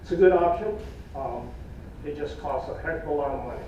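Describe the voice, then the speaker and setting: A man presenting cost estimates at a public meeting in a plain, folksy way, losing his voice, with halting fillers. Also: fairly high pitch, background noise. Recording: echoey room from far off, dull.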